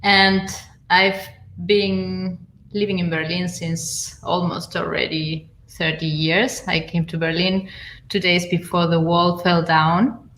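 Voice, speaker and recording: A woman from Argentina, introducing herself as a panel guest in an unhurried way, with fillers. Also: fairly low pitch, a bit bright, a little hiss or hum. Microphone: video call. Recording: echoey room on a video call.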